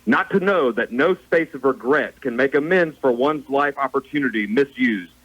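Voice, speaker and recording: A doom-laden male ghost, acted by a man in a radio drama. He laments and warns in a solemn, declamatory way, at a middle pitch. The broadcast sound is a little dull. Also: animated, moderate pace, fairly bright tone. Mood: anguished, solemn. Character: mournful, doom-laden male ghost of a dead business partner. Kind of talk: theatrical radio play acting.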